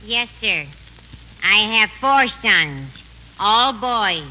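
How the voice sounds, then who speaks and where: A woman playing a blunt mother of grown sons in a 1940s radio comedy, deliberate and deadpan. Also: mid-range voice. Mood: deadpan earnest. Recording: noisy 1940s radio broadcast recording.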